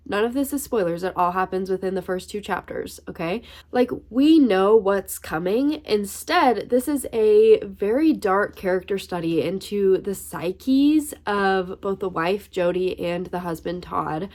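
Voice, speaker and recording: A woman's voice: medium pitch, a little slow, few pauses, a little mellow. Congested young woman in a chatty vlog. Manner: chatty, exasperated, emphatic. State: sick with a cold, congested and coughing. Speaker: book vlogger. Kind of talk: casual reading vlog chat.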